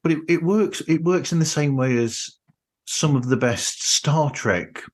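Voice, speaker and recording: A man's voice: fairly high pitch, measured pace. A middle-aged British man chatting casually as a podcast host. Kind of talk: casual chat.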